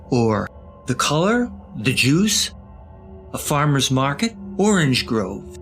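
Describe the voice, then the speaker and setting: Male narrator for audio drama: gravel in the voice, mid-range, suspenseful, deliberate, slightly wry. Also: mid-range voice, fairly bright tone.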